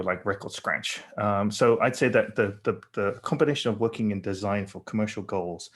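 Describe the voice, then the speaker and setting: A middle-aged man born in Burma and raised in England, with an English accent, speaking thoughtfully as a panel guest with many fillers and restarts. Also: fairly low pitch. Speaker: panel guest, company founder. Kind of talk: conversational panel talk.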